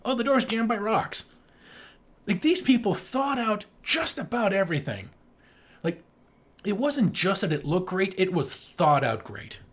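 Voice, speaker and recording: A podcast co-host with a medium-pitched, very animated voice that swings up and down. The delivery is casual and enthusiastic, with emphatic points and plenty of swearing, like an informal film review. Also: unhurried.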